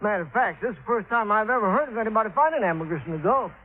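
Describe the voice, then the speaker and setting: A man's voice: sits high, animated, very fast. An actor playing a folksy, deferential small-town man with a Southern American accent in a 1950s radio drama. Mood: folksy explaining. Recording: narrow, tinny vintage radio recording.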